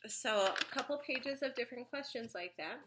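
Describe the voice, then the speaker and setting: A woman's voice: fairly high pitch, animated, normal speed, noisy background. A woman in her thirties with a slight cold, casually reviewing a homeschool study guide, chatty with frequent ums. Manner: chatty, frequent ums, pages through a book. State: slight cold, sniffly, occasional cough.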